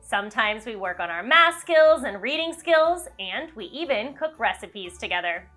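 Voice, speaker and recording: A woman presents to young children in an upbeat, scripted way. Her voice is high and bright with lots of melody, over light music. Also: big pitch swings, moderate pace. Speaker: host of children's read-along show. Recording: music under the voice.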